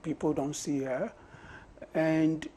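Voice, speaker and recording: A Ghanaian man with a husky voice and Ga as his mother tongue, answering interview questions in deliberate short phrases. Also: fairly high pitch, steady tone, mellow, slight background noise. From Osu, Accra, Ghana. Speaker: parliamentary candidate being interviewed. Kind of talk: conversational political interview.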